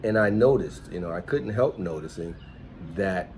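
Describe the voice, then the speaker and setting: A man's voice: sits low, lively intonation, moderate pace, a little mellow, slight background noise. A middle-aged man with an American accent chatting casually as a vlog host, rambling and reflective. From United States, New York City. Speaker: host, entrepreneur and musician. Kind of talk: casual conversational vlog chat.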